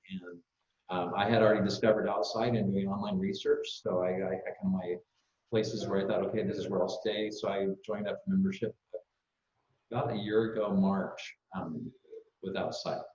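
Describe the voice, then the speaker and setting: A middle-aged man from Kansas with an American accent, speaking casually and haltingly as a panelist, on echoey, dull call audio. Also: lowish voice, a little mellow. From Kansas, United States. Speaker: panelist, business strategy consultant. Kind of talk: casual self-introduction on a panel.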